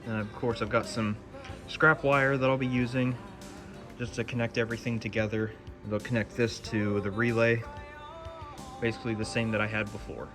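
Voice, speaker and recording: A man's voice: lowish voice, little pitch movement, normal speed, music in the background. A man talking casually through a hands-on DIY electronics project as he presents it.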